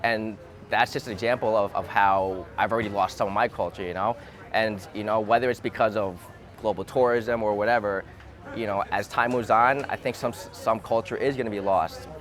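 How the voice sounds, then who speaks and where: A man from the United States talking through his views in a relaxed conversation, hesitant and repeating words as he thinks aloud, recorded outdoors. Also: on the low side, normal speed. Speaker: interviewee. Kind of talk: thoughtful casual conversation. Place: outdoors in a city.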